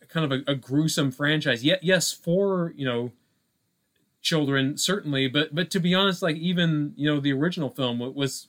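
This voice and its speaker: A man co-hosting a casual film discussion podcast, speaking haltingly and peppering his sentences with "you know" and "like". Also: fairly high pitch. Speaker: podcast co-host.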